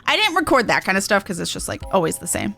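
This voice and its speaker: A woman's voice: medium pitch, very animated, fast talker, gravelly, sharp tone, soft background music. A woman streamer giving casual, offhand, self-deprecating commentary as she plays and reads game dialogue aloud. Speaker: gaming streamer.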